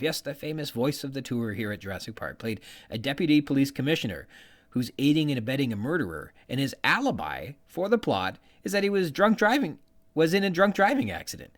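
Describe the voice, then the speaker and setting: A man's voice: mid-range voice, sing-song, fast. A man hosting a podcast, delivering scripted literary commentary with an emphatic delivery. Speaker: podcast host.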